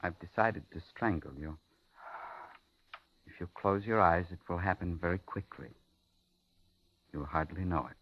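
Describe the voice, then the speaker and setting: An actor playing a paranoid, soft-spoken madman with a polite, menacing, coaxing manner in a 1950s radio thriller. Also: low voice, a little slow, long pauses. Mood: calm menace. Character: paranoid, soft-spoken madman who hears plotting voices. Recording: muffled vintage 1950s radio recording.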